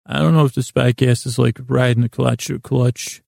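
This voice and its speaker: A man hosting a sleep podcast in a creaky voice, meandering haltingly in lulling, soothing tones, repeating himself and trailing off. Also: medium pitch, steady tone, darkish tone, no echo, clean studio sound. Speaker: podcast host. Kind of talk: rambling sleep-podcast monologue.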